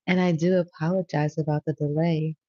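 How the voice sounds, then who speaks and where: A woman's voice: low-pitched, level tone, a little fast, mellow, dry recording, highs cut off. A woman hosting a spiritual tarot livestream, chatting casually and slangily straight to her viewers. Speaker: tarot reader and livestream host.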